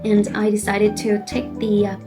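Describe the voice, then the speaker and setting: Vietnamese-accented girl in her late 20s chatting to cam, lots of "you know", music clearly under her. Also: mid-range voice, monotone, normal speed. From central Vietnam. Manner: earnest, a little nervous, frequent "you know" fillers. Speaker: vlogger. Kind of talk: casual vlog talk to camera. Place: at her desk at home. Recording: soft music behind the voice.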